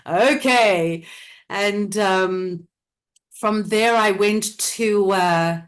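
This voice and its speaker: A middle-aged woman from South Africa chats as a guest in an interview. She laughs easily and restarts her sentences often, keeping an ordinary mid-range pitch throughout. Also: drawn-out words. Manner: chatty, laughs often, many restarts and fillers. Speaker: interview guest, theatre company director. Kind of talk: casual interview talk.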